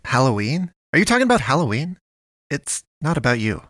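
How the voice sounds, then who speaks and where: Comedy podcast straight man, male actor: weary, matter-of-fact, medium-pitched, recorded dry. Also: very animated, a little fast, fairly bright tone, no echo. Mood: weary, dismissive. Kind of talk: scripted comedy podcast sketch.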